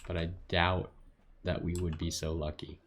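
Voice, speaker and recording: A man's voice: sits low, little pitch movement, normal speed, a bit bright, slight background noise. A man giving casual game-stream commentary in an offhand, musing way.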